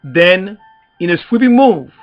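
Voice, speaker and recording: A high-voiced man with a Haitian accent delivers an activist's public address like a preacher. He hammers phrases through repetition and pointed rhetorical questions. The recording is dull and lo-fi, with faint music underneath. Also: big pitch swings, unhurried. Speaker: activist speaker.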